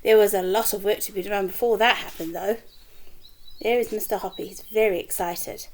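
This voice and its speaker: A woman's voice: mid-range voice, moderate pace. A woman reading a children's story aloud like a teacher, expressively, with chatty asides to her young listeners. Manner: animated, acts out dialogue, chatty asides.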